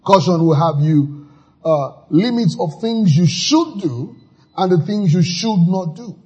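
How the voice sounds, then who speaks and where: A man preaching with a deeply husky, rough-edged voice pitched a little high. He delivers his sermon exuberantly, breaking into loud shouts of praise between readings of scripture. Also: lively intonation, measured pace, dull audio. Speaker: pastor.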